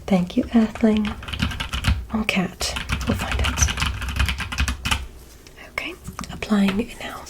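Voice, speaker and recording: A woman doing a whispered ASMR roleplay as a polite, curious alien examiner, speaking in a gentle, breathy, soothing way. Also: mid-range voice, fairly even intonation. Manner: slow, gentle, soothing bedside delivery. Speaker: ASMR performer.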